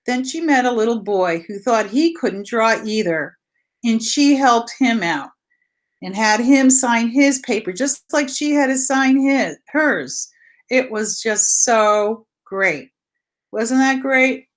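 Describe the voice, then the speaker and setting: A woman's voice: a little high, expressive, takes it slowly. A woman teacher leading a read-aloud lesson for young children, explaining simply with repetition and posing questions she answers herself.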